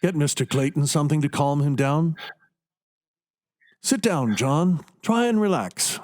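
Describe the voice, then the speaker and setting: A man's voice: on the high side, animated, unhurried, a little mellow. A man playing a scientist doctor in a radio drama, giving instructions in a reassuring, take-charge manner. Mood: calm, directing. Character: male doctor and scientist, calmly taking charge.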